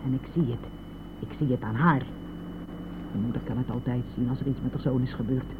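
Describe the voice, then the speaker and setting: A woman's voice: rumbling low, fairly even intonation, a little fast. An actress playing an anguished, stubborn elderly mother in a radio play, insistent and aggrieved, at times sinking to a near-whisper. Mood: bitter, aggrieved. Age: older. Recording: noisy phone-like old radio play recording.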